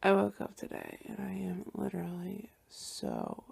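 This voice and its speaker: A young woman talking softly in a personal video diary, often hushed and breathy, with sighs between phrases, sounding congested from a cold. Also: mid-range voice, huge pitch swings, a little slow. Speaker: vlogger.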